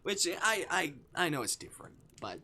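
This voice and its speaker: A man's voice: a little high, big pitch swings, normal speed, sharp tone. A man live-streaming, reading a visual novel aloud with dramatic expression and casual commentary. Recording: clear background noise.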